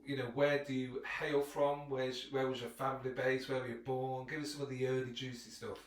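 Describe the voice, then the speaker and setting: A man's voice: medium pitch, level tone, a little fast, roomy sound, low-quality recording. A man hosting a podcast as an informal, jokey interviewer, chatting casually in person in a front room. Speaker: podcast host. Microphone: improvised iPhone setup.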